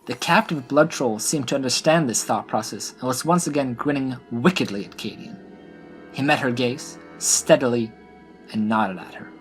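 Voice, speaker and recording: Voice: medium pitch, normal speed, rough recording. A storyteller narrates a scripted fantasy tale. The voice sits in a middle register, and music plays faintly behind it.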